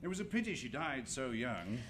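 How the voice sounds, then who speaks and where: Witty british husband in a drawing room comedy, middle aged, dry and flippant, very animated pitch. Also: male voice, a little fast, slight background noise, rough recording. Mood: droll, breezy. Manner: dry, urbane, teasing, articulate. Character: witty, flippant, urbane remarried English husband. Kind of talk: stage comedy radio acting.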